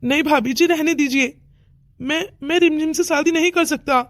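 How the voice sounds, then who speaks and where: A woman's voice: high-pitched, moderate pace, dry recording, studio quality, lightly acted. A woman voicing a meek, deferential, apologetic young suitor in a melodramatic Hindi cartoon story. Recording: music under the voice.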